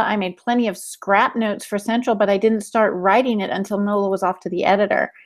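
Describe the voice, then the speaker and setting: A woman with a medium-pitched voice co-hosts a podcast. Her delivery is casual and matter-of-fact, with a dry, teasing streak. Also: a little mellow. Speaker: podcast co-host and writer.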